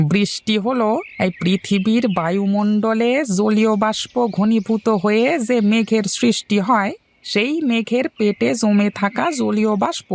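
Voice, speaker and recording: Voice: medium pitch, darkish tone, natural voice. An actor voicing a scornful, pedantic village rationalist man in a cartoon, lecturing. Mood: explaining. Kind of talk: cartoon dialogue.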